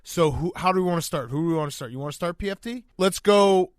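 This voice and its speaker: A male podcast host with a fairly high voice leads a casual sports chat. He moderates informally and keeps the discussion moving, recorded very dry. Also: quick pace, dry recording.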